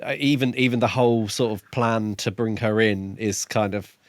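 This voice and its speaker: A man's voice: on the low side, steady tone, moderate pace, no room sound. Man chatting casually, close and dry. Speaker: podcast co-host reviewing books. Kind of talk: casual book discussion.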